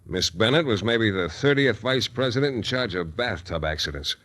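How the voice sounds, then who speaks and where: A man's voice: fairly low pitch, expressive, moderate pace, a bit bright. An American actor playing a wisecracking, unimpressed male private detective in 1940s radio drama, sardonic and slangy. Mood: wry narration. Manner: sardonic, flippant, clipped slangy talk. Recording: vintage 1940s radio recording.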